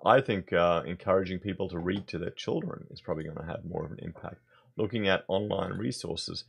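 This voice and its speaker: An Australian man with a hoarse voice, giving blunt, conversational opinion commentary in his vlog. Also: sits low. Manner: blunt, conversational, thinking aloud. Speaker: vlogger.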